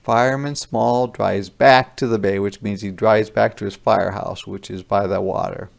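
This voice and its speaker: A man with a creaky voice reading a children's picture book aloud and pausing to explain words simply. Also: on the low side, steady tone.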